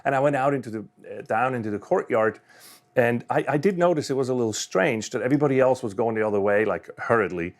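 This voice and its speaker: A man's voice: medium pitch, expressive, fast talker. A man in his fifties from Switzerland, giving a conversational personal talk at a conference, with frequent fillers and restarts. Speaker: conference speaker.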